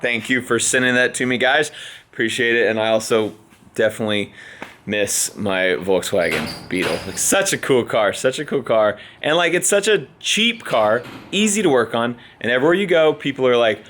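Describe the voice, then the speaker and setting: A young adult man with a slightly hoarse voice, chatting casually as a veterinarian vlogger in an easygoing, rambling way. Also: medium pitch, expressive, normal speed, a bit bright, echoey room, slight background noise. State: a little hoarse. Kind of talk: casual vlog chat.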